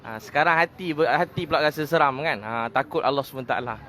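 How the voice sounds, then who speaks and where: Fast-talking Malaysian man, informal preacher. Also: a little high, bright, forceful tone. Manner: conversational, with repeated phrases and tag questions. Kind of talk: informal religious talk.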